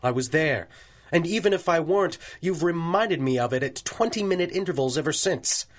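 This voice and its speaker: A man's voice: a little high, lively intonation, fairly quick. A stubborn judge from an old radio serial. He talks in a brusque, dryly sardonic way, with the clipped theatrical style of 1930s radio. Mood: wry, brusque. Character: gruff, wry, stubborn male judge in 1930s radio drama.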